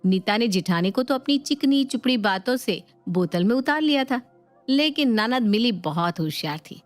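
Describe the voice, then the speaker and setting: A woman's voice: fairly high pitch, animated, normal speed, dry recording. A woman narrator reading a scripted family storybook tale. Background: music.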